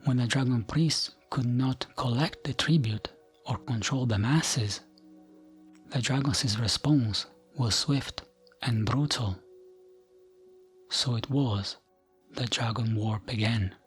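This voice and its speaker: A man narrates fantasy lore in a gentle ASMR style. His delivery is hushed and soothing, taken very slowly at a medium pitch. Music plays softly behind his voice. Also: slightly rough. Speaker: narrator of gaming lore videos.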